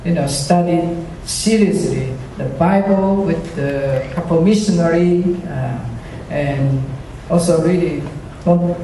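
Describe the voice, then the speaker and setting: A man's voice: fairly high pitch, takes it slowly, warm tone, slight background noise. A man from China giving a personal faith testimony to a church audience, hesitant with many fillers and frequent self-deprecating chuckles. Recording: echoey hall, speaker far from mic.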